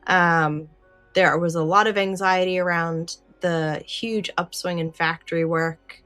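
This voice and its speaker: A woman's voice: lowish voice, little pitch movement, a little slow, a bit bright, no echo, soft background music. A woman co-hosting a podcast in casual discussion, thinking aloud in hypotheticals and asides. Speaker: podcast co-host.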